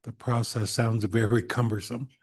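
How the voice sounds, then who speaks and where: A man's voice: medium pitch, flat delivery, moderate pace, breathy edge. A man chairing a legislative committee hearing, briefly and courteously calling on witnesses.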